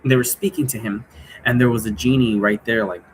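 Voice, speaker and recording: A man's voice: mid-range voice, steady tone, fairly quick. A man giving a casual, unscripted explanation as a presenter, rambling loosely from one aside to the next. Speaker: presenter explaining an occult role-play game. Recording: clearly noisy room recording.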